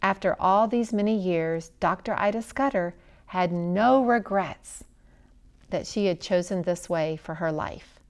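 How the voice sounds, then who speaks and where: A woman reading a story aloud as scripted narration, in an expressive, dramatic way with hushed phrase endings. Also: on the low side, unhurried.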